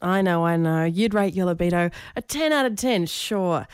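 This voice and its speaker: A woman's voice: fairly low pitch, animated, normal speed, slight background noise. A woman presenting a talkback radio show in a direct, cheeky way, speaking straight to listeners. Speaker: radio host. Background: music.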